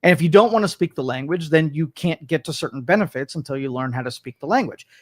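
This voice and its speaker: A man's voice: fairly high pitch, lively intonation, fast talker. A man hosting a studio talk show, arguing political opinions in a rambling way with many restarts and mock quotes.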